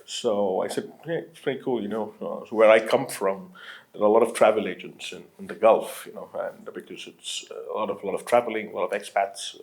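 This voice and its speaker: A low-pitched man from Qatar in his late thirties talks through an interview in a loose, rambling way. He circles back on his sentences, drops in fillers and laughs easily as he goes. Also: a little fast, gravelly, a little mellow, rough recording. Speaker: entrepreneur guest. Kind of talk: casual interview conversation.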